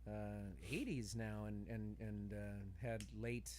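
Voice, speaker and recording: A man's voice: lowish voice, steady tone, slow, darkish tone, slight background noise. A man chatting casually as a podcast guest, earnest and conversational, piling up points as he goes. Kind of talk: casual chat.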